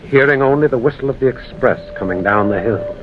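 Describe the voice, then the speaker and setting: An actor playing a grave man recounting a ghostly encounter, tense, deliberate and dramatic, in an old-time radio drama. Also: mid-range voice, natural voice. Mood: grave, suspenseful. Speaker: narrator and protagonist. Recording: muffled, hissy old radio recording.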